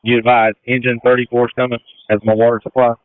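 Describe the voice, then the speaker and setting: A male fire commander speaks over a thin, narrowband radio channel. His voice is clear and middle-pitched, and he gives procedural, matter-of-fact instructions as emergency traffic. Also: monotone, fast, dry recording. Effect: two-way radio transmission. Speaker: fire battalion chief acting as incident commander.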